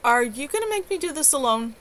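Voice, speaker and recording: A woman's voice: high-pitched, very animated, fast, fairly bright tone, slight background noise, pitched above the performer's own voice. Woman reading as a firm, determined young woman. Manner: firm, insistent. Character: determined, commanding young woman rallying her friend.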